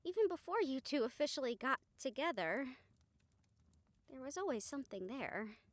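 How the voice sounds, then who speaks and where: A woman playing a gentle, supportive rehabilitator comforting a friend in an intimate audio roleplay, speaking softly, earnestly and a little hesitantly. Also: high voice, sing-song, normal speed, no echo. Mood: tender, amused. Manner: soft, hesitant, reassuring, earnest.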